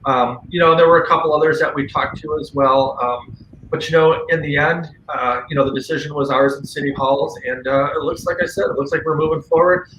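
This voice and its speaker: Bright forceful male voice, slightly high, earnest opinionated guest, casual talk show chat, echoey room. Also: steady tone, brisk. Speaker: guest on a paranormal radio show. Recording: roomy echo, faint background noise.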